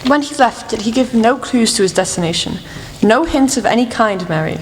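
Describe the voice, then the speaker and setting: A woman acting in an amateur radio play as a shrewd lady detective, speaking in a measured, frank and formal way. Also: a little high, animated, close to an everyday voice. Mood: probing. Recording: echoey hall with faint noise.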